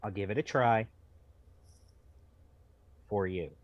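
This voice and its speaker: A man's voice: on the low side, slow, no echo, muffled recording, pitched below the performer's own voice. A man reading the part of a reluctant, incredulous boyfriend in a story, hesitant and unwilling.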